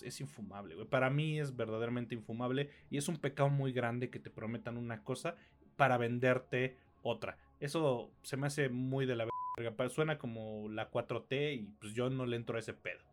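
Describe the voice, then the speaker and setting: A man's voice: mid-range voice, normal speed. A man in his late thirties with a Mexican accent, co-hosting a casual film and TV review podcast in an opinionated, slangy, sweary manner. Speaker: podcast co-host.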